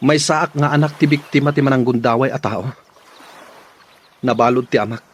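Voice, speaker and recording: A man's voice: mid-range voice, normal speed, slight background noise, natural voice. A man playing an earnest, determined young man in a radio drama, speaking solemnly. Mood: pleading, solemn.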